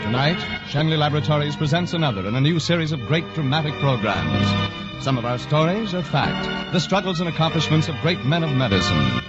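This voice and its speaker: A man's voice: on the high side, sing-song, fairly quick, highs cut off. A man announcing a drama program in 1940s radio style, on an old broadcast recording. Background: loud music.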